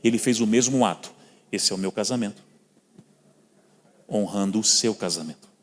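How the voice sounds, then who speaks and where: A man's voice: fairly low pitch, normal speed. Brazilian man preaching in a reverberant church. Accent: Brazilian Portuguese. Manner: storytelling, addresses listeners as brothers. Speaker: guest preacher. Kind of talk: sermon with personal stories. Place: church hall. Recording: long reverb of a church hall.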